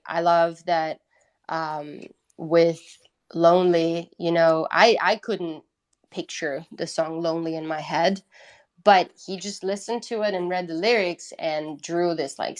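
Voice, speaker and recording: Lower-pitched woman chatting in an interview. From Italy. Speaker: musician, interview guest. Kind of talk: casual interview conversation.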